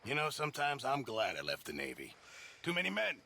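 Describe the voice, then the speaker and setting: A man's voice: medium pitch, huge pitch swings, brisk, gravelly, noisy background. A man playing a rambling outlaw in an Old West video game. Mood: wistful. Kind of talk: video game character acting.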